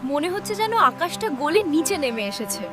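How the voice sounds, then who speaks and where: A woman playing a curious, excitable young village woman in a children's cartoon, with dramatic, expressive delivery. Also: sits high, normal speed, over loud music. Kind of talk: children's fairy-tale cartoon dub.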